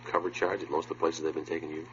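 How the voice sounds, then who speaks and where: A no-nonsense radio cop, super deep, fast and clipped, bit of hiss on it. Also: male voice. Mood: stern, probing. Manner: clipped, deadpan, businesslike. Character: terse, matter-of-fact male police detective sergeant. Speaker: lead actor and narrator. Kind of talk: 1950s radio police drama acting and narration. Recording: muffled, humming vintage radio recording.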